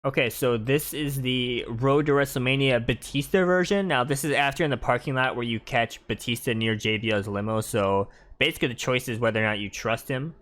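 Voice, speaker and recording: A man's voice: mid-range voice, brisk, hardly any pauses, a bit bright. A man giving casual gameplay commentary as a gaming video creator.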